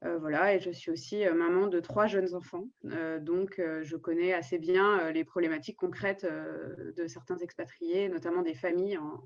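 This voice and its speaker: A woman's voice: fairly low pitch, steady tone, normal speed, hardly any pauses. A woman in her thirties, a teacher, introducing herself hesitantly on a video call with frequent fillers. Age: 30s. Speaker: teacher and candidate. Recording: muffled lo-fi video call audio.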